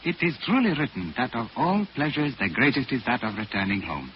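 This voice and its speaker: An actor playing a wise, deferential companion in 1940s radio style, speaking formally and sententiously. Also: male voice, mid-range voice, very animated, brisk, lightly acted. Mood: serene, respectful. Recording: muffled 1940s radio, faint noise.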